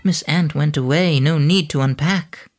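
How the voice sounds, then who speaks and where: A woman's voice: sits low, normal speed. A woman reading a rhyming children's story aloud in a sing-song, rhythmic way. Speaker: narrator. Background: music.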